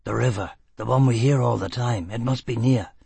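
A man voicing a gruff, blunt, stubborn escaped prisoner in a storybook reading, with terse, grunted lines. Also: medium pitch, normal speed, mellow, dry recording.

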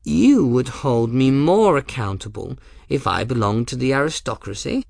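Man playing absent-minded bookish middle-aged gentleman, dry recording. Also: mid-range voice, lively intonation, normal speed, vocal fry, no room sound, pitched above the performer's own voice. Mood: mild amusement. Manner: absent-minded muttering, mild, wordy.

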